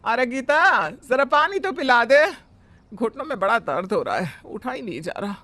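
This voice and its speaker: A man's voice: extremely high pitch, sing-song, normal speed, pushed and bright, slight background noise, exaggerated voice. A man voicing a fussy, bossy middle-aged mother-in-law who scolds and complains. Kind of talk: Hindi family drama voice acting.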